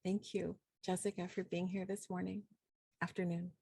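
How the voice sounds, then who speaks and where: A woman hosting a poetry reading, giving informal, effusive and reflective introductions with thoughtful pauses. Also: on the low side, flat delivery, vocal fry, mellow, slightly rough, no room sound. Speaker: host and poet. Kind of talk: warm, informal event introduction.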